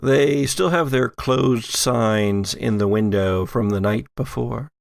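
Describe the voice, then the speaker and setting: A man with a creaky voice narrating and making rulings as game master of a tabletop role-playing game, in a measured, conversational way. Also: medium pitch, no echo, studio quality. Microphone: close studio microphone.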